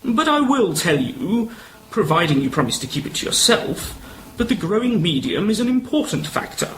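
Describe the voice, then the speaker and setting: A man acts an older retired colonel for an amateur radio play. He is courtly and proud, with a high, swooping voice and old-fashioned gallantry, over a faintly noisy recording. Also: big pitch swings, normal speed, fairly bright tone. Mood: proud, confiding.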